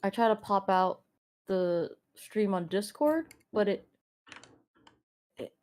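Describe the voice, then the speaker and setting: A woman's voice: medium pitch, animated, slow pace, darkish tone. A woman streaming a game in a casual, giggly chat style, swearing freely. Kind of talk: casual chat while gaming.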